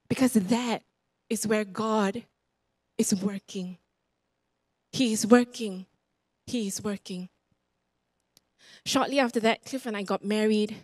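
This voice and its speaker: Animated Singaporean woman preaching. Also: mid-range voice, no echo. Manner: animated, roams the stage gesturing; emphatic, repeats phrases for effect. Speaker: guest preacher. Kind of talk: sermon with personal stories. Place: church stage before a congregation. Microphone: handheld mic.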